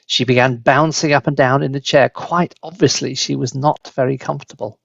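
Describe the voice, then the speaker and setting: A man reading a children's story aloud as a dramatic, deliberate, expressive narrator. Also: medium pitch, creaky, dry recording, lo-fi sound. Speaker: storybook reader.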